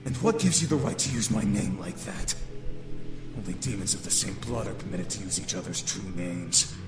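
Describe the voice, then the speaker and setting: A man's voice: sits low, animated, moderate pace, music in the background. A man playing a defiant demon heir in a visual novel game, speaking in a formal, firm, cutting way. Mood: bitter. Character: defiant young male demon heir rejecting his birthright.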